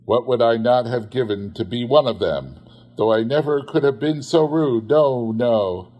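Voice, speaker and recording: A man narrating a literary story expressively, in a measured, theatrical manner. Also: medium pitch, dull audio. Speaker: audiobook narrator.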